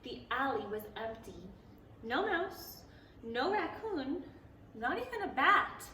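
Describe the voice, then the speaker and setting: Bright, slow-reading storytime woman in an echoey room. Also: sits high, a little hiss or hum. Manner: animated, pauses to ask the children questions; playful, gasps and exclaims at the story. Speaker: library storytime reader. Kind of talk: reading a picture book aloud to preschoolers. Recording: echoey room, voice a bit far from the mic.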